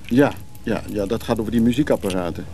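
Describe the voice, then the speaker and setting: A man's voice: lowish voice, fast talker, vocal fry, noisy background. An actor playing an easygoing but evasive male companion in a radio play, speaking casually and hesitantly. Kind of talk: naturalistic radio play acting.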